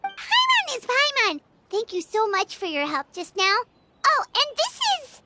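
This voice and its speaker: A woman voicing a tiny, excitable, childlike fairy companion in a video game, with a squeaky voice and an exclamatory manner. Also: a little slow, heavily acted. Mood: bubbly, excited. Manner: talks about herself in third person, exclamatory. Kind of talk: animated video game voice acting. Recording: loud game music behind.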